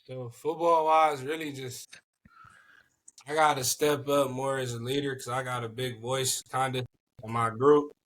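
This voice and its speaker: A young college football player from Cleveland talking in a relaxed, reflective way, often adding "you know what I'm saying.". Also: male voice, medium pitch, measured pace, rough recording. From Cleveland, Ohio. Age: young adult. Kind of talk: casual podcast chat.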